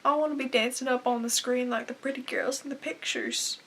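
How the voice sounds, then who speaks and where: A woman's voice: high-pitched, steady tone, a little fast, a little hiss or hum. A teenage girl playing a desperate, bitter young farm wife in a confessional dramatic monologue. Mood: desperate, self-loathing. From Canada. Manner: confessional, anguished, swinging from hushed to bursting. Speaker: aspiring actress performing a film monologue.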